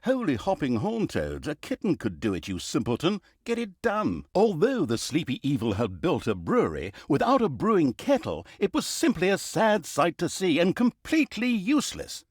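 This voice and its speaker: A man's voice: on the high side, wildly swooping pitch, normal speed, hardly any pauses, husky, no room sound, studio quality, full character voice. A man playing a sarcastic, easily exasperated fantasy storyteller in a video game, with theatrical, mock-grandiose comic narration. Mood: exasperated, scolding. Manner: wry, mock-grandiose, emphatic.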